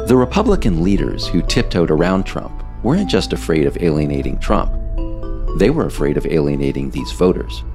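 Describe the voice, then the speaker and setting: An American man reading scripted narration in a measured, emphatic storytelling way. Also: low voice, gravelly. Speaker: author reading own work, narrator.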